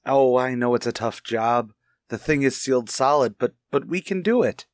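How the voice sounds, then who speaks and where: An actor playing an anxious husband in a radio mystery, halting and earnest as he confides in a friend. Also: mid-range voice, animated, dry recording, lightly acted. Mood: grave, uneasy. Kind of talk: radio mystery drama acting.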